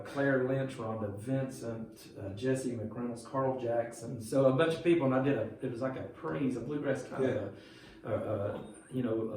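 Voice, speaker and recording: A man's voice: medium pitch, a little mellow, far from the mic. A friendly man rambles through his answers with plenty of fillers in an easy interview chat. He sounds set back from the mic in a very echoey room, with faint noise underneath. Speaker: guest musician being interviewed. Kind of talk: casual interview talk.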